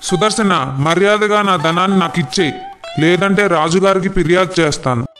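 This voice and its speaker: A man playing a greedy, hot-tempered merchant in an animated moral story, speaking in a dramatic, declamatory way. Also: high voice, moderate pace, faint music behind. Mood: accusing.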